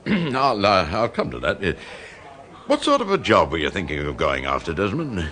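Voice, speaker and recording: An elderly English office clerk in a radio drama, low-voiced and swinging dramatically in pitch. He is chummy, indignant and scheming, with a blustering old-boy manner. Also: huge pitch swings, normal speed, a bit bright, exaggerated voice. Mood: indignant, conspiratorial. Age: 60s. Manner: old-fashioned clubby English speech, blustering. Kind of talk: radio play acting.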